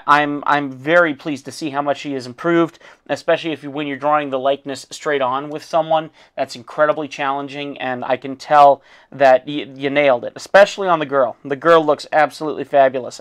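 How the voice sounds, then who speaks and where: A hoarse man who has lost his voice, an art teacher critiquing a student's drawing in a casual, encouraging way with frequent fillers. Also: fairly high pitch, fairly bright tone. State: losing his voice.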